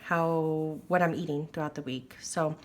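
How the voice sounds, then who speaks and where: A woman's voice: deep voice, fairly even intonation, unhurried, darkish tone. A woman chatting casually about her planner while recovering from an illness, her voice slightly wheezy, with lots of fillers. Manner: chatty, filler-heavy, apologetic. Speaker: planner vlogger. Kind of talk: casual chat. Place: at a table indoors.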